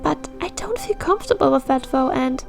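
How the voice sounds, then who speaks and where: A woman's voice: sits high, expressive, fairly quick, creaky, faint music behind, voice pushed up. A woman voicing a flustered, timid young girl who stammers and rambles earnestly in a fan fiction reading. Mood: worried, rambling.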